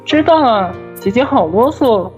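A woman plays a cheeky little boy in an audio drama. The voice is high and childlike, affectionate and playful toward an older sister, over faint music. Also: expressive, unhurried, darkish tone. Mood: sheepish, grumbling. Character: cheeky, affectionate young sickly little brother. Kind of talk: Chinese audio drama acting.

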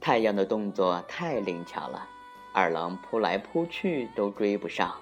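A woman narrating a folktale for children as an expressive storyteller, deliberate and with dramatic emphasis. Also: extremely low pitch. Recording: muffled lo-fi recording with music.

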